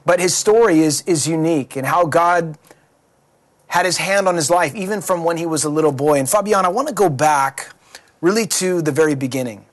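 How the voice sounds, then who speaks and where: A man's voice: a little high, fairly quick. Earnest preacherly male host. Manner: earnest, preacherly, leading questions. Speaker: pastor, show host. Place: studio.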